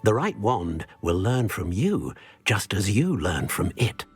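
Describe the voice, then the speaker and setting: Eccentric elderly wandmaker for a fantasy game: slow, swooping delivery, gentle, absorbed, full of murmured musing. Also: male voice, fairly low pitch, big pitch swings, full character voice. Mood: warm, instructive. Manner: murmuring hesitations, musing aloud, exclaiming. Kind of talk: theatrical video game cutscene acting. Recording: music behind the voice.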